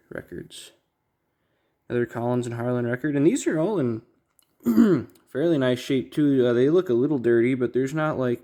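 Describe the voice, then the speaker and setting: A man with a soft-edged, relaxed voice talks casually through items as he handles them. He speaks at a middling pitch and often halts to think aloud, like a hobbyist collector hosting his own video. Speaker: record collector, video host. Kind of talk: casual unboxing commentary.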